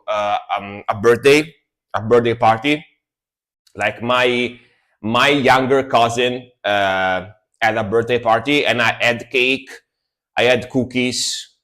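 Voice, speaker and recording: A man's voice: medium pitch, a bit bright. Italian guy streamer, native italian speaker w accent, just rambling casually to chat and repeating himself. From Italy. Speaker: livestream host.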